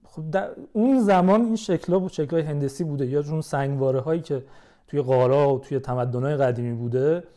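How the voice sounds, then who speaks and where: A man talks as a podcast guest in an informal, explanatory way. His tone is mellow and soft-edged, pitched a little higher than most men. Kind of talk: casual explanatory chat.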